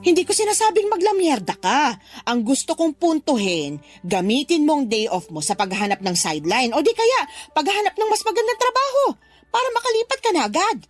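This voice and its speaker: A woman's voice: high voice, big pitch swings, fairly quick. An actress playing a demanding middle-aged Filipino mother who scolds and guilt-trips in a radio drama. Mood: insistent. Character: demanding, nagging mother pressuring her grown daughter. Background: background music.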